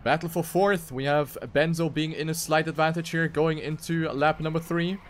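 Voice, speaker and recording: A man's voice: on the high side, fairly even intonation, moderate pace, slight background noise. A man giving live commentary on a racing video game as an esports caster.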